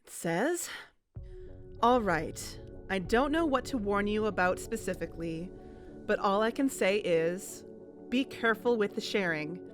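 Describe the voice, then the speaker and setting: A woman's voice: mid-range voice, expressive, a little slow, soft background music, lightly acted. A woman playing an earnest young amateur investigator on a fiction podcast, serious and careful. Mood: uneasy, reading aloud. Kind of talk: fiction-podcast dialogue and narration.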